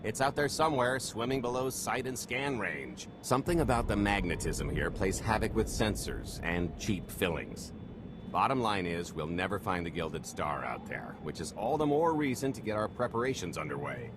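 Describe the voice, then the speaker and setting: An actor playing a smooth-talking roguish con artist in a video game, breezy, wry and sly. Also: male voice, lowish voice, lively intonation, normal speed, noisy background, close to an everyday voice. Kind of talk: video game cutscene acting.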